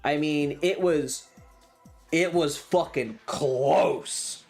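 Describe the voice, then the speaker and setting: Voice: medium pitch, drawn-out words, a little mellow, soft background music. A streamer telling a story casually and emphatically to viewers, with frequent swearing.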